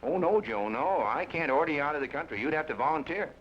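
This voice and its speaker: A man's voice: a little high, animated, fast talker, close to an everyday voice. A man playing a hearty, blunt ranger captain. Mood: coaxing. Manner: blunt, folksy. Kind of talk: 1940s radio acting. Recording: dull old radio broadcast with faint hiss.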